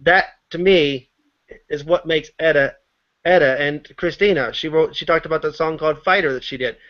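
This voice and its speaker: A man's voice: on the high side, moderate pace. A man talking earnestly in a casual group discussion, repeating his point. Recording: dull, muffled audio.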